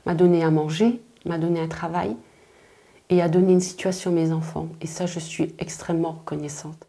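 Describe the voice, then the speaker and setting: A woman's voice: on the low side, fairly even intonation, a little fast, vocal fry, dark tone. Earnest woman recalling her father. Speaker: interviewee, daughter of immigrants. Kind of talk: documentary interview.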